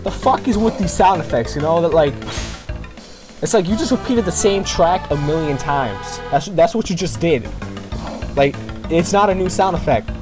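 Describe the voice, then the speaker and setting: Slangy young-sounding man, animated game commentary. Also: a little high, moderate pace, over music. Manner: slangy, rambling, complaining. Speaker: gamer making a playthrough video. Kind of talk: casual, profane game commentary.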